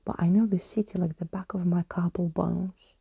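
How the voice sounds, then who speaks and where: A woman's voice: on the low side, flat delivery, normal speed, creaky, mellow, husky, no echo, full character voice. A woman voicing a jaunty, wordy male skeleton adventurer in a whispered storybook reading. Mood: confident, dramatic. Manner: theatrical, wordy, whimsical phrasing.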